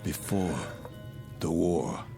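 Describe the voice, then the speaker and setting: Low-voiced male passenger, uneasy, reserved, halting, formal, radio drama, faint music. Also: creaky, exaggerated voice. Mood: uneasy, bewildered.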